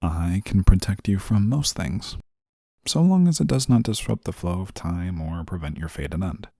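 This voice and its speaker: A man playing an exasperated, rule-bound but soft-hearted guardian angel in a scripted audio roleplay, scolding in a weary, formal way. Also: sits low, lively intonation, moderate pace, creaky, no room sound, clean studio sound, full character voice. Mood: stern, grudging. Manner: weary, scolding, formal wording, sighs often.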